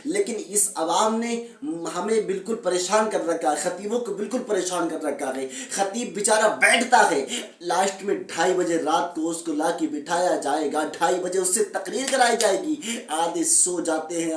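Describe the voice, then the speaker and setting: A man giving informal religious commentary and admonishment, earnest and repeating his phrases for emphasis. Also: a little high. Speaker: Islamic religious commentator addressing viewers. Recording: echoey room, distant microphone.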